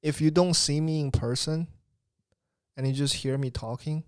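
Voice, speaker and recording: A man's voice: a little high, steady tone, normal speed, smooth, dry recording. Young guy hosting a podcast, rambly and casual, dry close sound. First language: Chinese. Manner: loose, rambling, swears casually. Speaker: podcast host. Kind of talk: casual chat.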